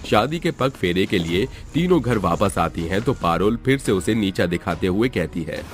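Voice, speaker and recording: A man's voice: lowish voice, animated, moderate pace. A man reading a scripted story as its narrator. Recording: music behind the voice. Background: background music.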